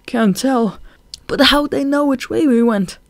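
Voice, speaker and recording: A narrator voicing a young male bat, blunt and quick to boast or fret. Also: high voice, dry recording, pitched above the performer's own voice. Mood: agitated. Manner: blunt, sometimes cocky. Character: young male bat hero, brave but anxious.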